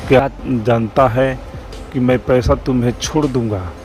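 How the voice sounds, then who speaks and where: A man playing a greedy, threatening old village moneylender in a cartoon story, in a stern, deliberate manner. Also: mid-range voice, steady tone, a little mellow, faint music behind, close to an everyday voice. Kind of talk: cartoon moral-story character acting.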